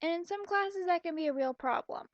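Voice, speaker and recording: A woman's voice: very high voice, a little fast, no echo, highs cut off. A woman giving sarcastic, exasperated commentary as she reads a story aloud.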